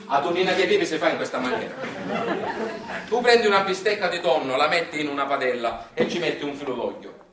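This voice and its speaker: A man's voice: on the high side, fast talker, crowd noise, natural voice. An actor playing a folksy, garrulous Sicilian man in a theatrical monologue, with a colloquial, repetitive and emphatic way of talking and a Sicilian accent. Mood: instructive, animated. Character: folksy, garrulous Sicilian man explaining recipes to his son. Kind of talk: theatrical storytelling monologue in dialect-laced colloquial speech. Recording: roomy, distant stage recording with faint noise.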